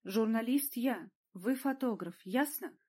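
A female narrator plays a male scandal journalist in an audiobook. He is excitable and gloating. His manner is eager and self-important. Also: on the high side, measured pace. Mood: indignant. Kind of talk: audiobook character voice.

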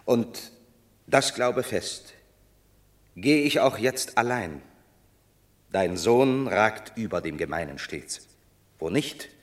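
Banished Roman war hero, proud and bitter, slow and measured, stage verse in a radio play. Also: male voice, low voice, heavily acted. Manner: declaiming, commanding, bitter.

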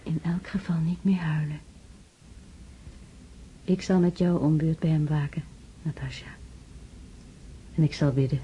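An actress playing a grave, devout woman keeping vigil by the dying, speaking solemnly and in hushed tones in a radio drama. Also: deep voice, steady tone, measured pace, pauses often. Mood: grave resignation. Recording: dull, muffled, noisy old recording.

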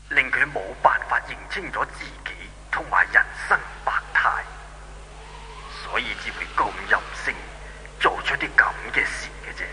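An actor with a Hong Kong Cantonese accent playing an ancient, patient heavenly god who pleads and moralizes in an old radio drama. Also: male voice, medium pitch, pushed and bright, rough recording. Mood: pleading. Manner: preachy, measured, pleading. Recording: faint noise, old 1970s radio recording.

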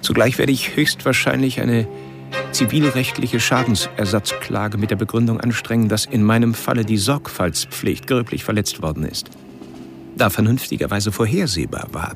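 An actor playing a mocking, erudite serial killer in a radio play, speaking formally and pedantically with halting, whispered passages. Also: male voice, on the low side, over music, lightly acted. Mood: mocking, measured. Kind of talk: recorded taunting monologue in a radio play.

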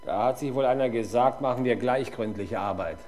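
A middle-aged actor playing a stubborn, embittered police inspector in a 1970s German radio crime play, gruff and sardonic. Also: male voice, medium pitch, fairly quick, darkish tone, slight background noise, close to an everyday voice. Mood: bitter, sardonic.

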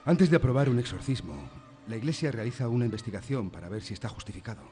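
A man's voice: medium pitch, lively intonation, fast talker, warm tone, soft background music, natural voice. A man playing a reserved, sceptical priest in a radio drama, with a Castilian accent and a matter-of-fact manner. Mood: sceptical, firm. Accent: Castilian Spanish. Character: sceptical, reserved male Jesuit priest and psychiatrist. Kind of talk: Spanish radio drama acting.

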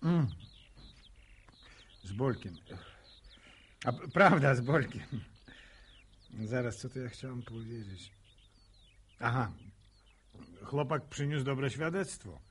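An actor playing a shy, hard-working village farmer in a radio drama, plain-spoken and halting, with speech. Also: male voice, medium pitch, lively intonation, slightly rough. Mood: hesitant, bashful. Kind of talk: naturalistic radio drama acting.

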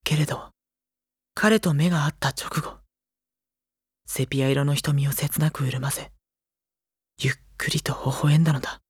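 A man's voice: a little high, fairly even intonation, normal speed, long pauses, husky. An actor playing a sensitive teenage boy who narrates an audio drama in a solemn, earnest, emotional and literary style. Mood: tender, mournful. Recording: loud string music behind narration. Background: cello and bowed string music.

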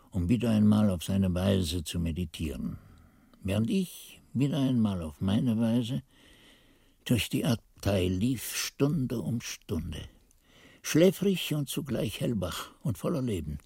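A man's voice: fairly low pitch, gravelly, slightly rough, dry recording, studio quality, exaggerated voice. An actor playing a monk who recalls his youth in a radio drama, narrating in a solemn, ardent, literary manner. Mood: wistful. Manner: expressive, solemn, literary delivery. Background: music.

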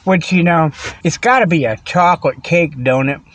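A man's voice: fairly high pitch, normal speed, no echo. A man hosting a comedy talk segment, rambling folksy and laughing often while he talks into a tablet in a parked car. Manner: folksy, laughs often, keeps saying "okay?". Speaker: host of a comedy podcast segment. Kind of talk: rambling casual monologue. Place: in a parked vehicle in a parking lot.

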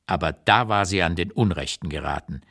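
A man reading a first-person literary memoir for radio in an expressive, articulate storytelling manner. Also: sits low, fairly quick. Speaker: literary reader narrating a writer's memoir.

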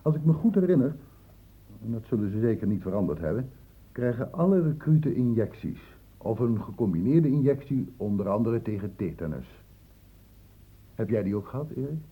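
An actor playing a stern, probing doctor in a Dutch radio drama, with measured, clinically precise questioning. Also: male voice, mid-range voice, big pitch swings, husky. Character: probing, stern male doctor, calmly cornering a suspect. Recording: muffled phone-like old radio recording.

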